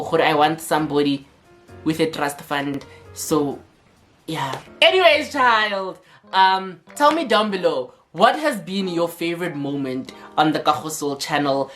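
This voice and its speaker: A sassy South African woman chats to her camera in a playful vlog, tossing out quick banter and sliding between languages. Her voice sits a bit low for a woman, with faint music playing behind her. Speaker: YouTuber. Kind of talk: casual vlog talk to camera.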